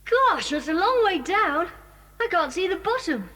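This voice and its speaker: A well-spoken English child in a children's audio adventure. The voice is high and swings widely in pitch, with an earnest manner. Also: female voice, big pitch swings, a little slow, bright, forceful tone, exaggerated voice. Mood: amazed. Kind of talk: children's audio drama acting.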